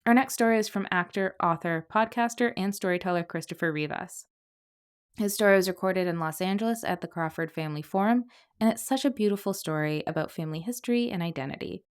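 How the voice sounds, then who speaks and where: A woman's voice: on the low side, fast, clear, resonant tone. A woman podcast host reading scripted narration between stories.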